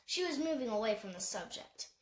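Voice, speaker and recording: A woman's voice: on the high side, sing-song, a little fast, reverberant. A woman reading a novel aloud as scripted audiobook narration. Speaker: audiobook reader.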